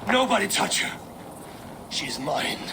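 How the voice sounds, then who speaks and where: A man's voice: on the high side, sing-song, takes it slowly, background noise. A man playing a fierce, vengeful Viking warrior in a video game, blunt and defiant in delivery. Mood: grim anger. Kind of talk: dramatic video game acting.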